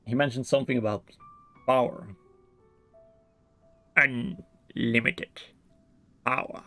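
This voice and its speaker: Streamer: casual reaction commentary, middling pitch, clear game music in the background. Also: fairly even intonation, takes it slowly, fairly bright tone. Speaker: live streamer.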